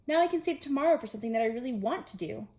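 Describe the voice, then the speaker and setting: A woman's voice: a little high, lively intonation, racing, darkish tone. Woman voicing a grumbling, dramatic storybook toad. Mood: contented.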